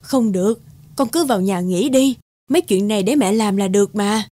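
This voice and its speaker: A woman's voice: medium pitch, normal speed, mellow, no room sound, clean studio sound, close to an everyday voice. Woman acting a doting older peasant mother. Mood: doting, fussing. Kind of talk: animated fairy tale voice acting.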